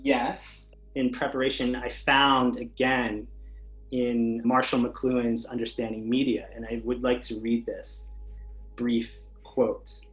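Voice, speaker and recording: A man with a mid-range voice explains his ideas about language as an interview guest. He speaks in a measured, explanatory way, and faint music runs beneath him. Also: medium pitch, flat delivery, a bit bright, rough recording. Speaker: podcast guest, language researcher. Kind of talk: conversational interview.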